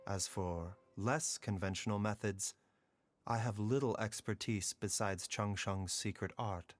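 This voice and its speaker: A man playing a gentle, composed physician in a video game, speaking in a measured, formal and compassionate way. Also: fairly low pitch, steady tone, dry recording. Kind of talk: dramatic video game voice acting. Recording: music playing behind the voice.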